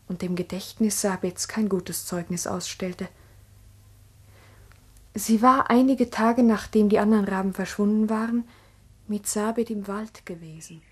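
An actress playing an earnest, ambitious village schoolteacher, narrating reflectively and deliberately in a 1960s radio drama. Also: female voice, medium pitch, husky, lightly acted.

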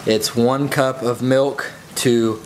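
A man's voice: medium pitch, steady tone, slow pace, a little hiss or hum. A young man in his twenties narrating a casual vlog into an action camera held at arm's length, easygoing as he plugs links. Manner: laid-back, plugs links and merch. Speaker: vlogger host. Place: outdoors on a boat, then a home kitchen.

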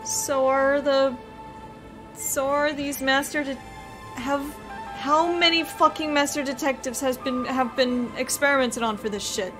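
A woman reacts to a game in a high voice. She exclaims, repeats phrases and swears in excitable group-chat style, with music clearly behind her. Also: a little slow. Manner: exclamatory, repetitive, profane.

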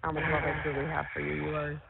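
Fast-talking woman on a noisy phone line. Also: sits low, completely flat delivery, gravelly. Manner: reassuring, repeats promises. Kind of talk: intimate casual phone chat. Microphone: telephone. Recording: noisy, tinny phone-line jail call.

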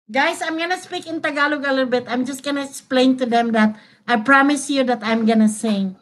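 A woman's voice: sits high, fairly bright tone. Chatty Filipino woman streaming from home. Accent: Filipino (Cebuano). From Philippines. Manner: chatty, laughs often, switches between Cebuano and English. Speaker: host of a live cooking stream. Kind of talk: casual live-stream chat. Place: home kitchen, indoors. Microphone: laptop webcam mic at arm's length. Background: guitar playing.

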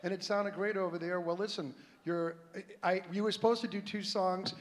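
A man's voice: high voice, steady tone, moderate pace. A middle-aged man hosting a live show, joking and rambling with his guest on a theater stage into a handheld mic. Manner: joking, rambling, self-deprecating. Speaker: show host. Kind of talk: live variety show banter. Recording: stage voice in a reverberant hall.

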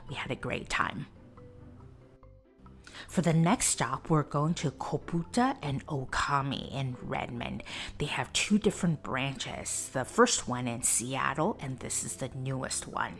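A woman narrating a food review in a soft, breathy whisper, calm and descriptive. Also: rumbling low, unhurried, faint music behind. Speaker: food vlogger.

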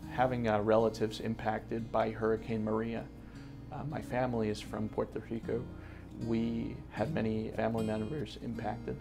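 Young connecticut guy in an office interview, monotone, gravelly, restarts a lot. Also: lowish voice, moderate pace, music in the background. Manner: earnest, with many restarts and "uh" fillers. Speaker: interview guest, environmental justice organizer. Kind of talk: sit-down interview. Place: office gallery room.

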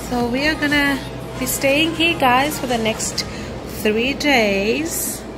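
A young woman chatting casually to viewers as a travel vlogger, unhurried and repeating her phrases. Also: sits high, over music, poor audio. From South Africa. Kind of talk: casual vlog chat to viewers. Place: indoors in a rental home.

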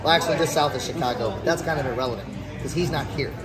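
A man's voice: medium pitch, very fast, a loud crowd. A middle-aged man giving a casual, explanatory demo as a game creator at a table in a busy indoor venue. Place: busy indoor convention hall.

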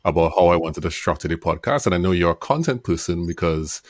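Deep voice guy hosting a podcast, calm questions, dry close studio mic. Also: fast, no echo. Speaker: podcast host. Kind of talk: interviewing. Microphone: studio mic and headphones.

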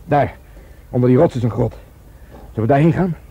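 A man's voice: mid-range voice, brisk, creaky, mellow, slightly rough, lo-fi sound. An actor playing a blunt, brooding, amorous policeman in a Dutch radio drama, speaking intimately.

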